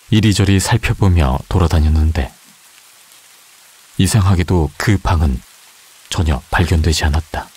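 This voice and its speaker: A man calmly narrating a scripted urban legend in an even, matter-of-fact way. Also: low voice, husky. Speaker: storyteller.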